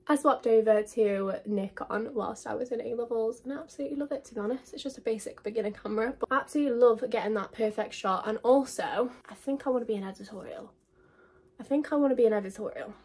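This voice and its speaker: Young English woman, 20s, breathy, chatty beauty vlogger talking to camera. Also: fairly high pitch. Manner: chatty, gushing, lots of likes and literallys. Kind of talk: chatty get-to-know-me vlog.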